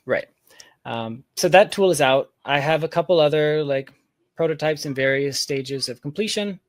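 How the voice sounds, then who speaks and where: A man's voice: on the high side, normal speed, dry recording. Candid man explaining in a dry-sounding space. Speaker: interview guest, software founder. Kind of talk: casual explanatory interview talk.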